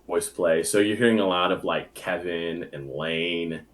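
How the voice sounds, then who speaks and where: A young man and bass singer, a guest chatting casually in an interview, easygoing and full of filler words. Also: unhurried, fairly bright tone. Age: young adult.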